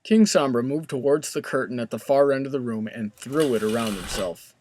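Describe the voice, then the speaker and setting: A man's voice: medium pitch, moderate pace, a little mellow, a little hiss or hum. A man reading scripted story narration as the narrator.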